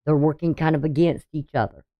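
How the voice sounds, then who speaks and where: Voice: medium pitch, fairly even intonation, fairly quick, dull audio. An astrologer in their sixties talking casually through a daily chart reading, rambling and hesitant, with many ums and restarts. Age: 60s.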